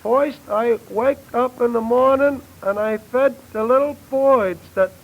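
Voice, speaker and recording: An actor playing a dim, mawkish racketeer acting innocent in halting, ungrammatical New tough-guy talk, in 1930s radio comedy style. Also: extremely high pitch, vocal fry, phone-quality sound, full character voice. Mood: mock innocent. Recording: thin, band-limited old radio recording.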